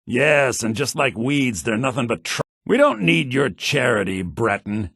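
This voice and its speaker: An actor playing a sly, cynical townsman in a fantasy video game, with a husky, gravelly voice and a wry, conniving, expressive delivery. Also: medium pitch, a little slow, no echo, clean studio sound, exaggerated voice. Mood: scornful, irritated. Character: sly, cynical adult male townsman and schemer. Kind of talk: scripted game dialogue.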